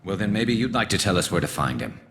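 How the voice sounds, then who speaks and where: A cool, determined alien vigilante from a video game. His voice sits extremely low with a gravelly edge and swings expressively within dry, threatening understatement. A faint noise lies behind it. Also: sing-song, quick pace, fairly bright tone. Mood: grim, determined. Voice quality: very deep, gravelly.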